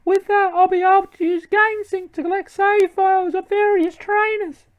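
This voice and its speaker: A man voicing a friendly young woman game character in a strained falsetto, reading her dialogue aloud. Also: little pitch movement, moderate pace, phone-quality sound. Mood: helpful.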